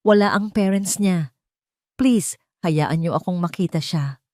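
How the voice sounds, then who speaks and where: A woman's voice: on the low side, measured pace, mellow, no room sound, studio quality, natural voice. A woman with a Filipino accent voicing a desperate, protective mother in an audio drama, urgent and pleading. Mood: breathless pleading. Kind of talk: audio drama character acting.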